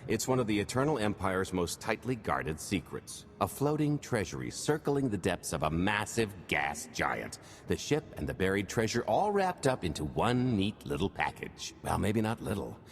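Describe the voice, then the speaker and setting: A low, lively male voice in an echoing space with some background noise: a sly alien con artist for a video game. He talks in breezy salesman patter, smooth and shifty. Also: moderate pace, few pauses. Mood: confident pitch. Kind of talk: video game cutscene acting. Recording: echoing hall, background hum.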